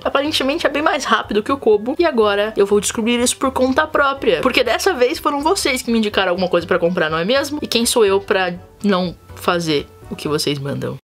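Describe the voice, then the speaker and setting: A young woman with a Brazilian Portuguese accent, hosting a casual vlog and chatting expressively with frequent asides to her viewers. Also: on the high side. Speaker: channel host.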